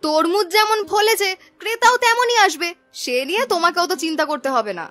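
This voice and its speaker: A woman voicing a greedy, stubborn young farm girl who argues bossily in an animated moral-story cartoon. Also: very high voice, fairly quick, soft background music. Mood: boastful. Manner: bossy, argumentative, scolding.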